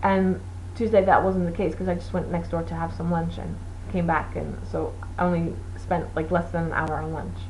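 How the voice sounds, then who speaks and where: A woman's voice: lowish voice, flat delivery, moderate pace, hardly any pauses, a little mellow, slight background noise, poor audio. A woman whose first language is Portuguese, hosting a knitting show in a casual, rambling chat with many fillers. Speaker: knitting podcast host.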